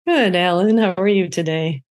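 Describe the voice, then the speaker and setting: A woman's voice: on the low side, normal speed. A woman co-hosting a podcast in a casual, conversational way. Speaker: podcast co-host. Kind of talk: casual chat.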